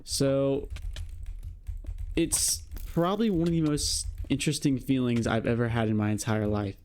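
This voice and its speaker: A man's voice: mid-range voice, fairly even intonation, moderate pace, a little mellow, slight background noise. A young man in his late teens talking reflectively to camera in a rambling vlog, full of fillers and "you know". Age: young adult. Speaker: vlogger. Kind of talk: casual monologue to camera.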